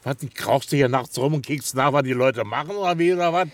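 An actor playing a stubborn, mocking older village man in a radio play, with a husky voice and a Berlin-Brandenburg accent, colloquial and blustering. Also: medium pitch, fairly quick, no room sound, lightly acted. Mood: defensive. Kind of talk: naturalistic German radio play acting.

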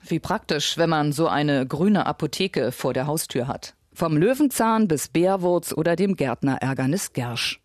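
A man reading scripted documentary voice-over narration in a measured, even manner. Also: a little high, no echo, clean studio sound.

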